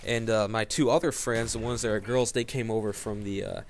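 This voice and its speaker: A man's voice: lowish voice, fairly even intonation, fairly quick, faint music behind. A young man vlogging, telling a story casually to camera, rambling with lots of fillers and restarts.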